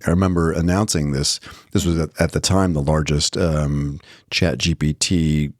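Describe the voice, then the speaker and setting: Deep voiced guy doing casual podcast news chat, jokey asides, really dry close sound. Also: fairly even intonation, moderate pace, dry recording. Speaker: podcast co-host.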